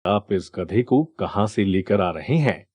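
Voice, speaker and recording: A man's voice: on the low side, lively intonation, measured pace, a little mellow, no room sound. A man voicing a sly, falsely respectful swindler in a children's moral story, persuasive and mock-earnest. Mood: ingratiating.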